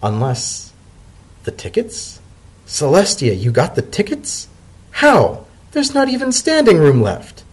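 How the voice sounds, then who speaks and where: Man playing an earnest, high-pitched winged pony. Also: slow pace, slightly rough, full character voice. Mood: astonished. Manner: hesitant, earnest, excitable. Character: anxious, affectionate winged male pony, warm and hesitant. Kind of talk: dramatic reading of a story.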